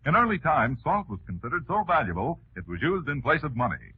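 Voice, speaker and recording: A man's voice: medium pitch, sing-song, fairly quick, slightly rough. A man with an American accent announcing and reading advertising in emphatic 1940s radio style. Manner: emphatic sales delivery. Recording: muffled 1940s radio recording.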